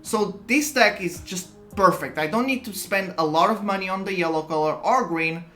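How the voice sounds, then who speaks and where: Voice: mid-range voice, normal speed, a bit bright, soft background music. A content creator with a Portuguese accent, explaining a card game strategy in a casual, chatty way and often restarting sentences. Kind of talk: casual explainer talk to camera.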